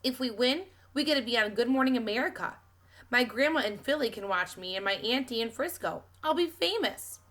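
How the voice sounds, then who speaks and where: A woman voicing a cocky, excitable fifth-grade boy eager for fame in a storybook reading. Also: on the high side, fairly quick, pitched above the performer's own voice. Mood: boastful, excited. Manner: cocky, emphatic, exclaiming.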